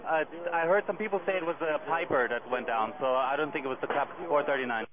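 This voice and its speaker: Quick, higher-voiced man on noisy radio. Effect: two-way radio. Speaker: airport operations worker. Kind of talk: informal radio report. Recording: tinny radio with outdoor vehicle noise.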